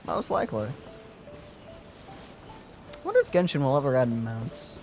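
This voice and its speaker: A man gives casual commentary as a gaming co-host. His voice is medium in pitch, with dry, wry asides over faint game music. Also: huge pitch swings, unhurried, darkish tone.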